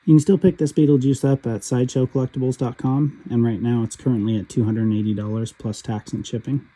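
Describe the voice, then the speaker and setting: Guy doing a toy review voiceover, kinda raspy from a cold, super dark mellow voice. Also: medium pitch, quick pace, faint music behind. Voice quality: husky. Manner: steady, matter-of-fact commentary. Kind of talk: calm voice-over product review.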